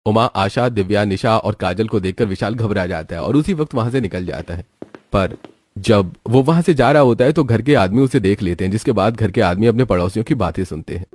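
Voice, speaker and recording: A man's voice: on the low side, fairly quick, darkish tone. A man reading scripted story narration as the storyteller.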